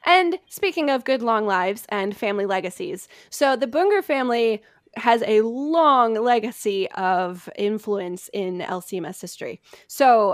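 A woman's voice: mid-range voice, dry recording, studio quality. A woman hosting a podcast, casually telling history from her notes with chatty, self-deprecating asides. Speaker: podcast host presenting history research. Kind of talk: casual storytelling from notes among friends.

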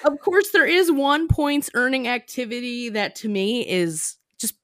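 A woman's voice: a little high, a little slow, fairly bright tone, dry recording, studio quality. A woman co-hosting a podcast, chatting casually and giggling, filling her talk with "like". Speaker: podcast co-host. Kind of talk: casual chat.

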